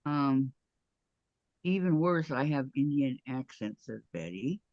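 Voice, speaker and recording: A man co-hosting a quilting show, casually reading viewer comments aloud and answering in short, relaxed remarks. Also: mid-range voice, slow pace, no echo, lo-fi sound.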